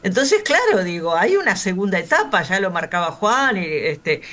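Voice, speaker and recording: A woman's voice: medium pitch, big pitch swings, normal speed, a bit bright. A woman in her sixties with a Río de la Plata accent, talking in a panel discussion in a chatty, anecdotal way with frequent fillers. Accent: Río de la Plata Spanish. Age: 60s.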